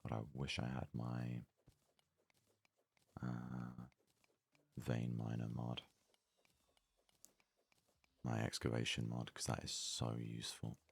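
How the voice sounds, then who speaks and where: A man giving casual ASMR let's play commentary in a very soft, hushed, breathy voice, murmuring his thoughts aloud without hurry. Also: rumbling low, monotone. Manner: unhurried, murmured thinking aloud. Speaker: gaming video host.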